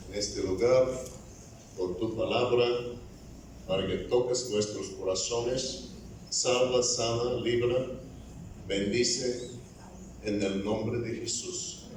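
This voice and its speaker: Slow-preaching man in an echoey church. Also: medium pitch, a little mellow, distant mic, a little hiss or hum. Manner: deliberate, halting delivery in a second language. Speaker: evangelist preacher. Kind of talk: sermon.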